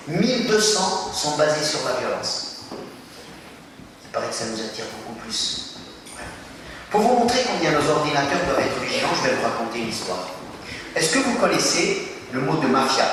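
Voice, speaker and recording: A man giving an animated talk to a school audience, questioning them and acting out little scenes. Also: medium pitch. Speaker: speaker addressing students. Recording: echoey hall from far off, noisy.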